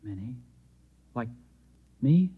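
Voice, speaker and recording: Unstable, naive husband claiming to be Martian: husky, intense, insistent, dull old radio-drama sound. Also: male voice, medium pitch, big pitch swings, takes it slowly, exaggerated voice. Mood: proud, wondering. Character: earnest, unstable husband who believes he is Martian. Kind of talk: golden-age radio drama acting. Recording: dull vintage radio broadcast.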